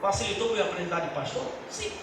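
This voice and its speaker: Middle-aged pastor in an echoey hall. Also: male voice, sits high, sing-song. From Brazil. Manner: earnest personal testimony, halting restarts. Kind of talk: church teaching talk. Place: church hall. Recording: echoey church hall from far off, noisy.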